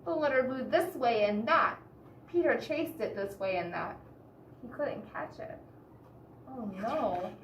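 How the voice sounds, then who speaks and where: Higher-voiced woman reading a storybook, roomy echo. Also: expressive, a little slow. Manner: asks the listeners questions and explains gently. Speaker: children's story reader. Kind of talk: expressive picture-book read-aloud with asides to young listeners. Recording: roomy echo, distant mic, background hiss.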